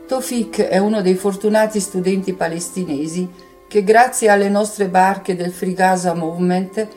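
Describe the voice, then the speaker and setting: Female reader, mid-pitched, literary diary narration over faint music. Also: a little mellow. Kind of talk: literary reading of a war diary.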